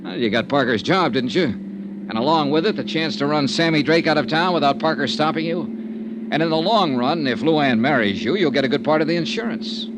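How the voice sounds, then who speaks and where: An American man acting a shrewd, probing insurance investigator in an old radio detective drama, measured and insinuating. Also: on the high side, natural voice. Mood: coolly insinuating. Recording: noisy vintage radio drama recording.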